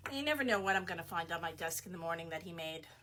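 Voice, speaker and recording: A middle-aged woman who runs a small shop, chatting casually as she shows off products, her voice going hoarse, with frequent fillers and laughs. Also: on the low side, animated, very fast, bright, forceful tone, slight background noise.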